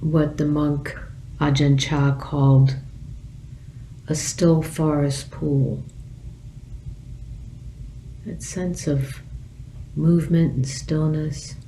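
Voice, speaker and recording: A woman leading a guided meditation as a teacher, speaking gently and leaving long pauses. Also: low-pitched, steady tone, a little hiss or hum, rough recording.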